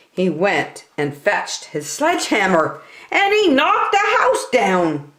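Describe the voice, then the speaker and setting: A woman reading a children's picture book aloud in an expressive, deliberate manner as a story time reader. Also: mid-range voice, roomy sound. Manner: animated, deliberate.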